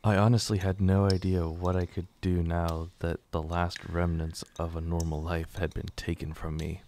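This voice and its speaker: Narrator: man with a deep voice, serious, measured delivery of scripted radio drama. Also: level tone, warm tone, a little hiss or hum.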